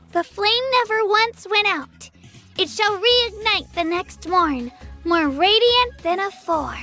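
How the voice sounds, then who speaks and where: Earnest, very high-pitched young girl adventurer. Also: pushed and bright, full character voice. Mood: earnest, determined. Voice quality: girlish. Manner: earnest, sincere, easily flustered. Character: earnest, eager young girl adventurer who loves shiny rocks. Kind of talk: video game character voice lines.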